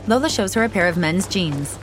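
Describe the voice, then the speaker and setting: Calm woman describing scenes over music. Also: lowish voice, brisk, poor audio. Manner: calm, even, precise reading. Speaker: audio describer. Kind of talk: scripted audio description narration.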